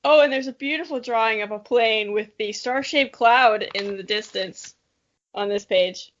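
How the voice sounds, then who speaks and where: Young woman, high voice, giggly, self-mocking, casual dramatic reading, dull lo-fi recording. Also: normal speed, slight background noise. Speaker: podcast co-host. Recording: dull lo-fi audio.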